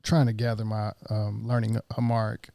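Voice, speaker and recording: Host. Man with a low, gravelly voice who asks casual, laid-back questions. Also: monotone, moderate pace, warm tone, no echo, studio quality. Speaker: podcast host. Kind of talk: casual interview.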